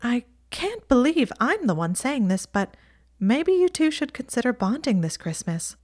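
A woman's voice: on the high side, big pitch swings, a little slow, darkish tone, voice pushed up. A woman voicing a chatty, teasing young witch girl who speaks in a lofty, blunt way. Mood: earnest.

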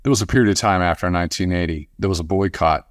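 A man hosting a casual sports podcast interview, speaking haltingly and often repeating words as he restarts. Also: sits low, no echo, studio quality.

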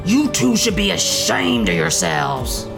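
An actress playing an indignant, folksy train driver in a sci-fi audio drama, scolding, with a gravelly, creaky voice. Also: female voice, low-pitched, wildly swooping pitch, slow pace, over music, full character voice.

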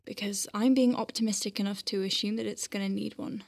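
A woman's voice: medium pitch, steady tone, a little fast, creaky, a little mellow, no echo. A woman playing a dry-witted, capable doctor on a space station, dictating clinical notes with wry conversational asides in an audio drama. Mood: brisk, wry. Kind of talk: science-fiction audio drama, spoken log.

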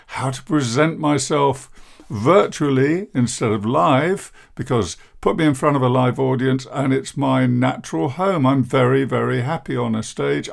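Older male podcast host, deep gravelly croak, measured and downbeat, self-deprecating. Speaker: podcast host, therapist and coach. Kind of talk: reflective podcast monologue.

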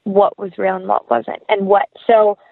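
A woman's voice: mid-range voice, level tone, unhurried, gravelly, dry recording, phone-quality sound. Chatty woman on a thin call line. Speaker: actress, interview guest. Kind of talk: casual interview conversation.

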